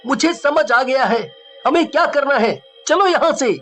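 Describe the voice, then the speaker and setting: A woman's voice: high voice, animated, measured pace, a bit bright, soft background music. A woman playing a brave, determined schoolboy in a horror story, speaking in an earnest, emphatic way.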